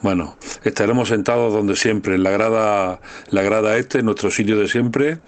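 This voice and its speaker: A man's voice: fairly low pitch, steady tone, moderate pace, natural voice. A man playing an affectionate, reassuring father in a casual voice message.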